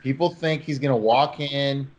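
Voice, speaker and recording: A man's voice: mid-range voice, normal speed, highs cut off. A man hosting a sports talk show, giving opinionated takes in an informal, emphatic way.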